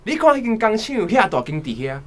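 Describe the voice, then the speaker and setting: An actor playing a grumbling village neighbor, a farmer turned mason, in a radio play. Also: male voice, sits high, sing-song, fast talker. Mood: aggrieved.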